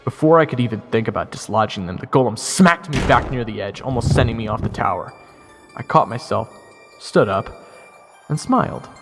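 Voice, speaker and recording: A man narrates a fantasy audio story in the first person, as its hero. His medium-pitched voice delivers scripted, dramatic narration. It carries a long reverb, with music clearly playing underneath. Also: lively intonation, normal speed.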